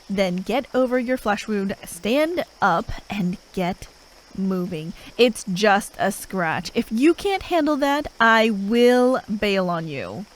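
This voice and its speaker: A woman playing a gruff, impatient but grudgingly caring fantasy assassin in an ASMR roleplay, sarcastic and sweary as she talks to the listener. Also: medium pitch, rough recording. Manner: sarcastic, drawling, sweary, with sighs.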